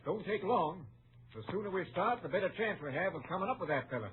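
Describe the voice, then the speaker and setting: A businesslike frontier marshal in a radio western. His voice is highish and lively, brisk in manner. The recording is tinny and vintage. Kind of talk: old-time radio western acting.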